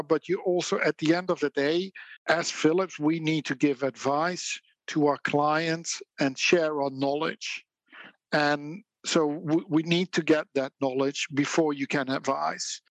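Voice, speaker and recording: A man with a Dutch accent weighs his words in a relaxed interview, thoughtful and even-handed, breaking into a quick laugh now and then. His voice sits a little high for a man. The sound is close and dry, with no room around it. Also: no room sound. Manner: measured and reflective, with occasional laughs. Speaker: guest, auction house specialist. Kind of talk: conversational interview.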